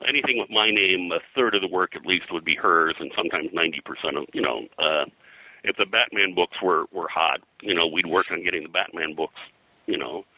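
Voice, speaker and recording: Older man, very deep pitch, close dry sound, discursive explanatory interview talk, frequent rephrasing. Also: fairly quick, pushed and bright, no echo, rough recording. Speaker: interview guest, veteran comic book colorist.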